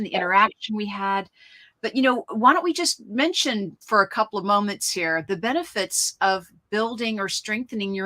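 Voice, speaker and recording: A woman talks in a bright, forward voice as a radio co-host. Her delivery is casual and upbeat, full of fillers and restarts as she chats with her partner. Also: medium pitch. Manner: chatty, upbeat, frequent fillers. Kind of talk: casual talk show chat.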